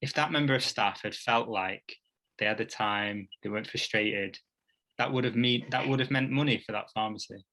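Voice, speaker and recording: A man's voice: on the low side, normal speed, pushed and bright, poor audio. A man hosting a casual podcast discussion, making his points emphatically and restarting to stress them. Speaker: podcast host.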